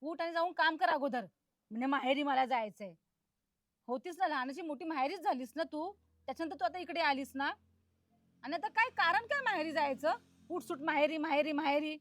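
High-voiced spiteful mother-in-law played by a woman. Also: brisk, fairly bright tone, dry recording. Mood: angry scolding. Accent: Maharashtrian Marathi, colloquial. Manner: scolding, repeats phrases insistently. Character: spiteful, domineering mother-in-law bullying her daughter-in-law. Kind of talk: amateur family drama acting.

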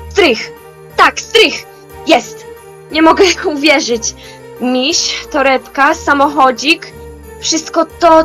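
A young woman playing an old grandmother in an amateur audio drama, in a halting, theatrical manner. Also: high-pitched, bright, forceful tone, full character voice. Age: young adult. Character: grumpy old grandmother turned remorseful and joyful.

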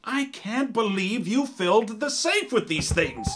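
Flustered, animated comic detective. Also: male voice, high voice, measured pace, music in the background. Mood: irritated. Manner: flustered, grumbling, hesitant. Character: exasperated, maladjusted male detective, jumpy and unsure. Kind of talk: comic audio drama acting.

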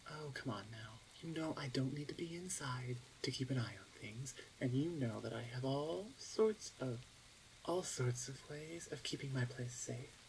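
A woman playing a teasing, smug older orc shaman sister in an ASMR roleplay, with a playful, drawn-out, self-assured delivery. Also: very deep voice, a little mellow, a little hiss or hum, low-quality recording. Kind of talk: ASMR roleplay audio drama.

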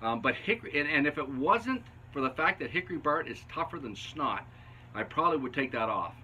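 Canadian guy in his 50s, chatty woodshop lesson, slightly high voice, bench camera a few feet off. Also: animated, brisk, pushed and bright, a little hiss or hum, poor audio. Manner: folksy, chatty, chuckling asides while he works. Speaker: woodworking channel host. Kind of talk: casual instructional talk while working. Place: basement woodshop. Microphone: camera on a bench a few feet away.

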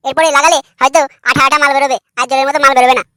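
A man's voice: very high-pitched, fairly even intonation, very fast, bright, forceful tone, dry recording, studio quality. A man voicing a crude, irritable young husband in a comedy cartoon, brash, argumentative and foul-mouthed. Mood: boastful, insistent.

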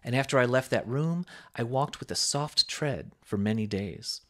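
A man reading a sermon aloud with a measured, emphatic preaching cadence. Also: mid-range voice, sharp tone.

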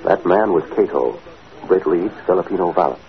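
A man's voice: low voice, lively intonation, moderate pace. A man delivering scripted narration for a 1940s radio drama. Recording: tinny 1940s radio recording.

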